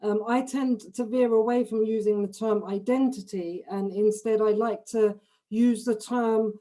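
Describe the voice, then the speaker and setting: A mellow-voiced woman speaking on a video-call panel. She talks in academic terms but hesitates often, filling her pauses with "uh". Her voice has a soft, warm tone. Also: on the high side, steady tone.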